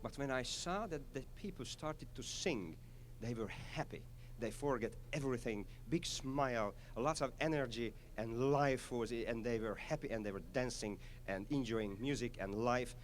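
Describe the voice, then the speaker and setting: Hungarian-accented conductor, mid-pitched, halting, eager, faint ambience behind. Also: male voice, slight background noise. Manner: halting, with frequent "uh" fillers, enthusiastic. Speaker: orchestra conductor. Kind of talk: spoken concert introduction.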